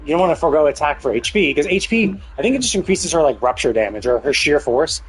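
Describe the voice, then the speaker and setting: A man's voice: a little high, expressive, quick pace, husky, soft background music, rough recording. A man giving casual live game commentary, thinking aloud as he explains things, with some mild swearing. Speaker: game streamer.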